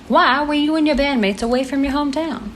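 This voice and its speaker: An actress playing a composed radio host in an audio drama, announcing in a polished, dramatic broadcast style. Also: female voice, sits high, brisk, a little hiss or hum, natural voice. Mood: composed, intrigued. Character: composed female radio host presenting a crime exclusive. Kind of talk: scripted radio broadcast presenting. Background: music.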